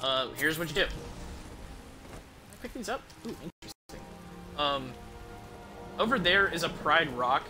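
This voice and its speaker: A man's voice: on the high side, lively intonation, unhurried, long pauses, music in the background. A man giving casual, rambling gameplay commentary as a game streamer, with some swearing.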